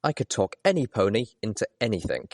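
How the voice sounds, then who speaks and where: A man reading first-person fiction aloud as an expressive audiobook narrator. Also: on the low side, brisk, a little hiss or hum. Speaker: audiobook reader.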